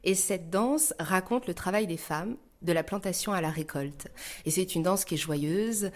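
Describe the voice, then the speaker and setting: A woman from northern Iran answering interview questions reflectively, pausing often as she thinks. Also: lowish voice. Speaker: interview guest, dancer and choreographer.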